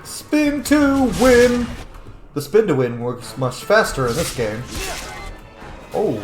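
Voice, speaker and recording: A man's voice: high-pitched, wildly swooping pitch, slow, reverberant. A man giving casual, chatty, rambling commentary as a video game streamer. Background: game music.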